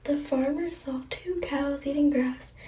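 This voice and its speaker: A woman's voice: high voice, steady tone, measured pace, echoey room. Young woman whispering a bedtime story. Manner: soft whisper with giggly asides. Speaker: storybook reader. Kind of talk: whispered ASMR story reading. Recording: distant mic, clear noise.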